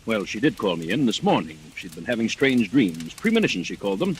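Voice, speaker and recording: An actor playing a skeptical, matter-of-fact family doctor in an old-time radio mystery. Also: male voice, lowish voice, big pitch swings, fairly quick, slight background noise, rough recording.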